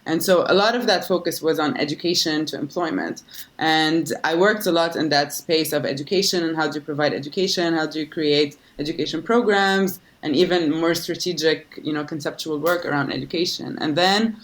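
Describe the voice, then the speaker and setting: A woman's voice: deep voice, a little fast. A woman in her thirties from Lebanon, a social entrepreneur answering interview questions thoughtfully, with fillers and restarts.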